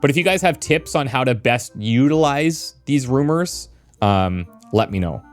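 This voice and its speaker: A man's voice: mid-range voice, animated, normal speed. A man giving casual let's play commentary as a video game streamer. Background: game music.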